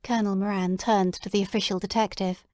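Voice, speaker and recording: A woman narrating a classic story in a measured, descriptive way. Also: mid-range voice, flat delivery, a little mellow, no echo, studio quality. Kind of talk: audiobook dramatic reading.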